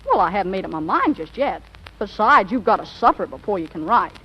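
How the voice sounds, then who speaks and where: A woman's voice: mid-range voice, sing-song, a little fast, husky. A woman playing a dramatic, stubborn fifteen-year-old girl with a petulant, slangy way of talking in an old radio comedy. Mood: dreamy, melodramatic. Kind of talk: 1940s radio comedy acting. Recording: dull old radio broadcast recording.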